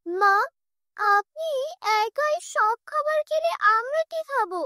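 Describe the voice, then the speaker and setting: A woman plays an anxious young daughter-in-law in a cartoon folk tale. The voice is very high, earnest and pleading, with a dry sound. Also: expressive, takes it slowly, bright, forceful tone, no echo, heavily acted. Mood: timid complaint.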